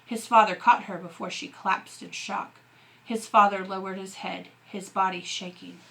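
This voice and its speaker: Bright-voiced woman reading a novel aloud. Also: medium pitch, steady tone, moderate pace, creaky, rough recording. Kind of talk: expressive audiobook-style narration of a novel.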